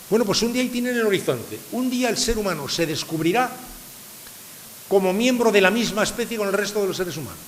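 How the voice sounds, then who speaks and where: Older guy from san sebastián giving a talk, fires rhetorical questions at the crowd, slightly high voice, echoey hall w faint hiss. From San Sebastián, Spain. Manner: rhetorical, questioning the audience, emphatic. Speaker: lecturer. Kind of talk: public lecture to a live audience.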